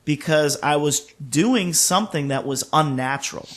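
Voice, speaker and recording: A man's voice: fairly high pitch, normal speed, fairly bright tone. Candid man in his late thirties. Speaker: podcast co-host, singer and music teacher. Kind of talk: casual podcast conversation.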